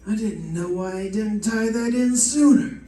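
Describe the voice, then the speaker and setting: A roomy, distant stage recording of a man acting a police detective. His voice is mellow and easygoing, with matter-of-fact delivery for a radio mystery. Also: sits high, unhurried. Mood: explaining. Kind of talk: old-time radio detective drama.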